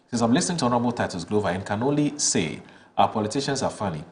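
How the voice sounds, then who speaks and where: A very low-pitched man with a Ghanaian English accent reads viewer texts aloud on a studio morning show. He stumbles and restarts between brisk read-out passages, with a faint hum of room ambience behind him. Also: animated. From northern Ghana. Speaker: morning show host reading viewer messages. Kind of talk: reading listener texts on air, chatty asides. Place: television studio.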